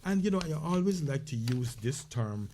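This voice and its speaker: A man's voice: mid-range voice, lively intonation, normal speed, dark tone, a little hiss or hum. A man with a Caribbean accent co-hosting a casual health talk show, speaking conversationally with many fillers and restarts. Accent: Caribbean English.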